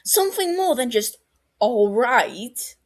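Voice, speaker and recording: A woman's voice: fairly high pitch, very animated, slow, voice pushed up, exaggerated voice. A woman voicing an anxious, prickly young man who gets defensive and exasperated, raising his voice and stammering when upset.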